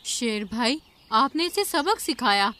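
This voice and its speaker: A woman's voice: a little high, big pitch swings, takes it slowly, sharp tone, exaggerated voice. A woman voicing a small, watchful jungle bird in an animal fable cartoon. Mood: concerned.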